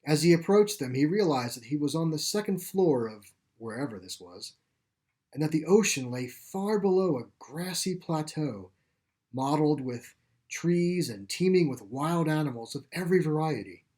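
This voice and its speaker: Measured man reading a short story. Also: a little high, very animated, darkish tone. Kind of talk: scripted story narration.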